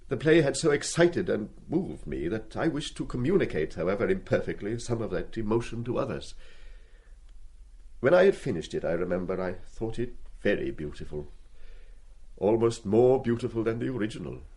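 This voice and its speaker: A reserved, ageing schoolmaster in a radio drama. His voice is low and husky, moving expressively through precise, pedantic sentences with a dry, wounded formality. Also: very animated, moderate pace, mellow, full character voice. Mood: wistful, measured. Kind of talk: radio play acting.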